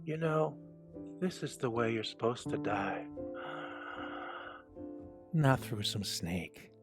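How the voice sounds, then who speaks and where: A man playing a gentle, fatherly old mouse who is dying, slow and weary, in an audio drama. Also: mid-range voice, animated. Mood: weary, tender. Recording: loud music under the voice.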